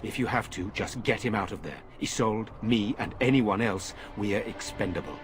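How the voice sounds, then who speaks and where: An actor playing an earnest, resolute noble lord giving orders in a video game. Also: male voice, on the low side, moderate pace, lightly acted. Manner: earnest, steady, commanding.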